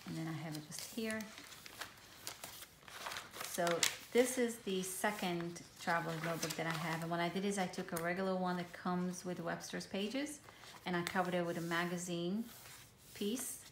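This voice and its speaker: Hebrew-native woman, low register, easygoing rambling show-and-tell, roomy echo. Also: moderate pace. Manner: relaxed, rambling, frequent ums and restarts. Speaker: craft vlogger. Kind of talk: casual show-and-tell of a handmade journal. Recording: echoey room with faint hiss.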